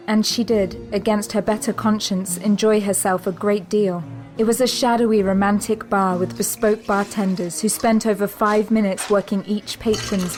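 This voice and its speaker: A woman narrating an audio drama in a measured, even storytelling manner. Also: mid-range voice.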